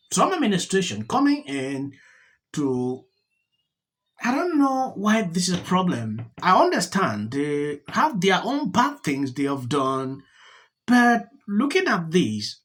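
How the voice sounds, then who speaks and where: Earnest channel host talking to the viewers; the pitch moves up and down a lot. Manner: earnest, appeals directly to viewers. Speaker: YouTube commentator. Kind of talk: solo video commentary.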